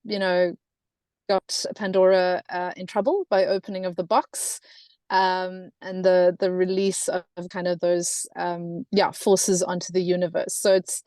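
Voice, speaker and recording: A woman's voice: on the low side, little pitch movement, unhurried, dark tone, dry recording. A South African woman in her thirties presenting in a webinar, talking with many fillers and restarts. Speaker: webinar guest speaker.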